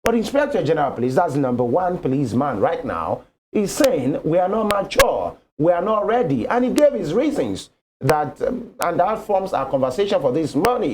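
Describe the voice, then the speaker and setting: A man hosting a live morning TV talk show, chiming in and probing with questions. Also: fairly high pitch. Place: television studio.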